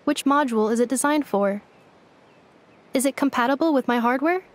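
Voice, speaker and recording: A woman's voice: high voice, moderate pace, natural voice. An actress voicing a polite, literal-minded female robot in a video game, speaking in stilted, formal, emotionless phrases. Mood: flat, inquisitive. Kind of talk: scripted video game dialogue.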